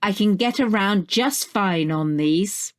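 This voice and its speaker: A woman's voice: medium pitch, slow, lightly acted. A woman reading the part of a stoic, resigned man in a short story, reassuring and muttering. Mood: brave reassurance.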